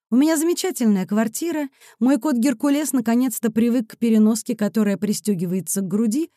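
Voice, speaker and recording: A woman reads a first-person novel as an audiobook narrator. Her voice is fairly high yet soft and mellow, with expressive delivery. The recording is very dry. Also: dry recording.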